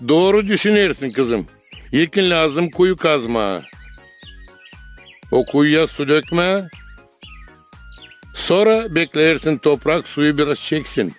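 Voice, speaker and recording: A man with a Gagauz accent playing a kindly, instructive grandfather in a children's cartoon. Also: on the high side.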